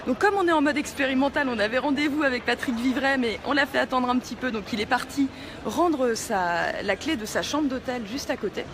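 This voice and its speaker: A woman hosts a live stream, her voice high. She chats casually and informally. She is outdoors on a city street, with clear traffic noise behind her. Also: fairly quick. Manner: chatty, informal.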